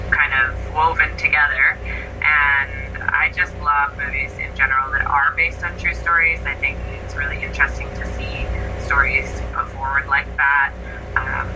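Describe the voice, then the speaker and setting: A woman speaking enthusiastically and casually as an actor being interviewed. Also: low voice. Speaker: guest actor. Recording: distant mic with clear background noise.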